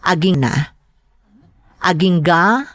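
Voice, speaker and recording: A woman acting a slow, plaintive Ilocano mother. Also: lowish voice, lively intonation, a bit bright. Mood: sorrowful. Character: heartbroken, pleading mother torn between lover and child. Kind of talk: melodramatic audio drama acting.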